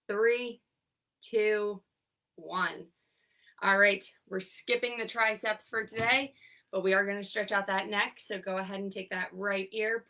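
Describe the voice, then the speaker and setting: Young female therapist, congested, bright and slightly gravelly, upbeat coaching tone; mic set back from her. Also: medium pitch, far from the mic. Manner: upbeat, encouraging, counts down holds. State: congested, stuffy from sinus trouble. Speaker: occupational therapist leading seated exercise class. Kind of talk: instructing an online exercise class.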